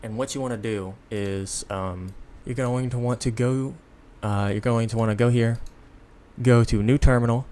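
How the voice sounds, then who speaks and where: A tired man giving a casual, unscripted software tutorial in a rambling, self-deprecating way. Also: medium pitch, fairly even intonation, moderate pace, a little hiss or hum. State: tired, sleepy. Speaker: tutorial host.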